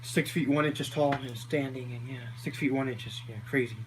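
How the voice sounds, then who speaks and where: A deep-voiced man talking casually to the camera in a personal vlog, rambling and filling his talk with constant "you know"s and repeated phrases. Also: fairly even intonation, normal speed, noisy background. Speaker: vlogger.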